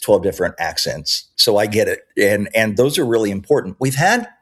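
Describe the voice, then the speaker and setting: A man's voice: on the low side, animated, fairly quick, a bit bright, breathy edge. Man explaining his product, conversational. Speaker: podcast guest, software maker.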